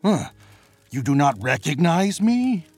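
A man's voice: a little high, sing-song, slow, a little mellow, no room sound, faint music behind, exaggerated voice. A man playing a proud, defiant young demigod who boasts and stammers when caught out.

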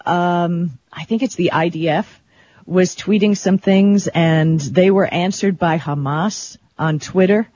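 A woman's voice: lowish voice, slow, dark tone, breathy edge. A woman co-hosting a talk radio show in casual, conversational discussion. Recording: dull lo-fi radio stream.

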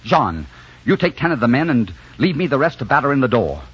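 An actor playing a rugged, defiant romantic hero and narrator in a 1940s radio drama, intense and dramatic. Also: male voice, mid-range voice, very animated, a little fast, husky. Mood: resolute. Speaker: leading man and narrator. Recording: dull 1940s radio recording, music behind.

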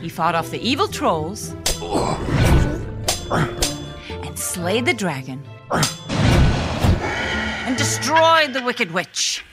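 Animated, slightly exasperated storytelling mom. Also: female voice, medium pitch, very slow, long pauses, loud music behind the voice. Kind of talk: comedic audio drama, storytelling.

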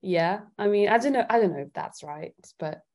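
A woman from Italy chatting casually as an interview guest, thinking out loud with hesitant phrasing. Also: medium pitch, lively intonation, normal speed. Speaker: singer-songwriter guest.

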